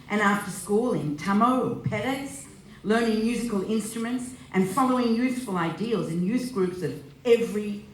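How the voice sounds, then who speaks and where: Woman, medium pitch, scripted stage narration, echoey live recording, faint background noise. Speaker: narrator in a dramatic reading. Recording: echoey, slightly noisy live hall recording.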